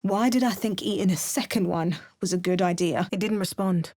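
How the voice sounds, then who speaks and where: A woman's voice: on the low side, moderate pace, a little mellow, no echo, lightly acted. A woman voicing a wry, sardonic adventurer. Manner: dry, sarcastic asides. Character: sardonic adult female adventurer, wry and unflappable. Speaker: player character voice actor. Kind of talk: game voice lines, dry narration and quips.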